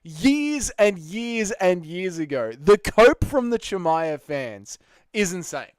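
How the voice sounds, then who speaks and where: A young Australian man hosting a live stream, chatting with viewers in excitable, slangy, sweary banter. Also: sits high, slow pace, dry recording. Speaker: streamer host.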